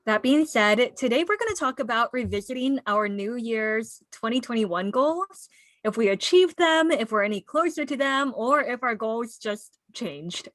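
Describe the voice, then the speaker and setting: A woman's voice: on the high side, fairly bright tone, no echo. Casual young American woman, close dry sound. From United States. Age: 20s. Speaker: podcast co-host. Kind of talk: casual chat.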